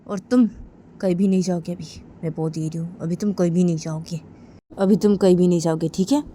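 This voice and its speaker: A woman's voice: fairly low pitch, fairly even intonation, a little fast, dark tone, a little hiss or hum, close to an everyday voice. A woman playing a defiant, commanding wife who confronts her husband in an insistent, repetitive, bossy way.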